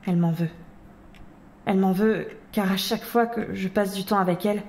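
A woman's voice: medium pitch, fairly even intonation, normal speed, vocal fry, a little mellow, close to an everyday voice. An actress playing an earnest, troubled mother who doubts herself, serious and hesitant, in an audio drama. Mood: sad, self-reproaching. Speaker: voice actor in a fan audio drama. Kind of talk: French audio drama acting. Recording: roomy sound with music behind.

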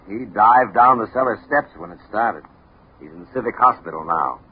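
Husky, low police captain. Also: male voice, expressive, moderate pace. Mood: matter-of-fact. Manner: low-key, matter-of-fact. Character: unhurried police homicide captain, matter-of-fact. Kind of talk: 1950s radio drama acting. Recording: dull 1950s radio recording.

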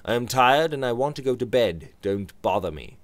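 A man voicing a proud, brooding young gentleman who answers curtly. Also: medium pitch, lively intonation, moderate pace, no room sound, voice pushed up, close to an everyday voice. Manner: curt and clipped.

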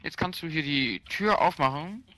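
A man's voice: a little high, lively intonation, normal speed, sharp tone, no room sound. A young man co-playing a game, explaining mechanics in an instructive, teasing, impatient way. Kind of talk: casual gaming chat.

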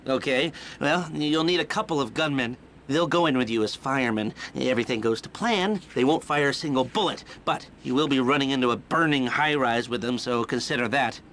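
A man acts out a middle-aged criminal planner in a video game. He is nervy and sarcastic, explaining in excitable, halting bursts. His voice is sharp and bright, with faint noise behind it. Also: medium pitch, lightly acted. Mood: businesslike, wry. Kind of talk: video game cutscene acting.

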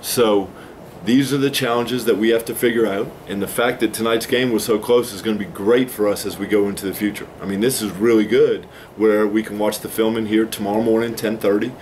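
A man in his forties talks with a slightly low voice, steady and explanatory. He answers postgame questions from a press table in a small room with a faint ambient hum behind him. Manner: measured, explanatory, takes responsibility. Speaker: head basketball coach.

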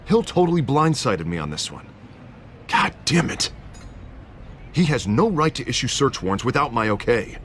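An actor playing a blunt, exasperated district attorney in a video game drama, speaking emphatically. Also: male voice, mid-range voice, very animated, moderate pace, pauses often, fairly bright tone, a little hiss or hum. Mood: indignant.

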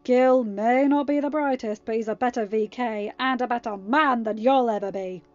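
A woman voicing a fierce, loyal young male pirate in a narrated story, threatening and quick to snarl. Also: on the high side, no echo, highs cut off, lightly acted. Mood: snarling, protective. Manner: growling, threatening.

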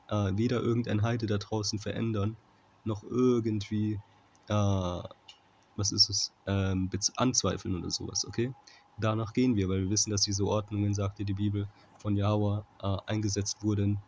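A man giving an informal Bible commentary in a halting manner, often checking in with a short tag question, on a noisy amateur recording. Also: fairly low pitch, a little mellow. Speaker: Bible teacher.

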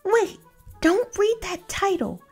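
A woman performs a whiny young cartoon pigeon from a storybook, fretting dramatically. The voice is extremely high and drags words out very slowly, exclaiming theatrically. Music plays clearly behind it. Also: sing-song, darkish tone, heavily acted. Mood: indignant, boastful. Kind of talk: children's storybook read-aloud.

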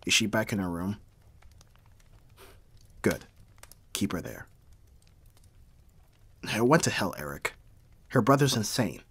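Slow, low-voiced brooding mafia boss played by a man. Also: very animated, vocal fry, fairly bright tone, a little hiss or hum, exaggerated voice. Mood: frustrated. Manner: terse, profane, sighing, measured. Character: brooding young mafia boss, hard yet secretly caring. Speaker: voice actor in audio roleplay. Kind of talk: dramatic ASMR audio roleplay acting.

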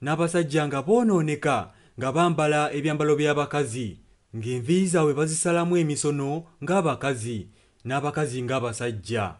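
A man's voice: a little high, fairly quick. A man interpreting a preacher's sermon and prayer phrase by phrase.

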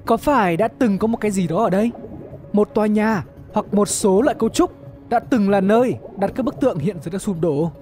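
A man narrating an animated explainer series as its host, in a scripted storytelling style. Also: sits high, fairly quick, soft background music. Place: voice-over recorded close, with music behind.

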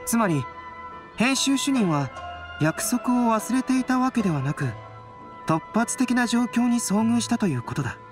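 Composed, high-voiced young scholar. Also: male voice, big pitch swings, moderate pace, over music. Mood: analytical. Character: calm, analytical young male scholar-artist. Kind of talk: anime game voice acting.

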